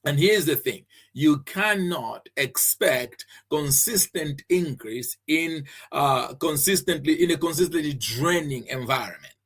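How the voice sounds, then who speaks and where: A man's voice: on the high side, expressive, measured pace, hardly any pauses, a bit bright, husky. A man from Zambia hosting a faith-based podcast, teaching earnestly, repeating his key phrases and often restarting with fillers. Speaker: podcast host and preacher.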